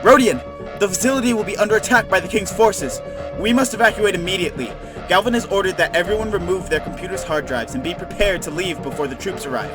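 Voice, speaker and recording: A man acting an alarmed facility worker in an audio drama. He speaks fast in a high, urgent voice, with music running underneath. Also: natural voice. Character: alarmed male facility staffer giving warning.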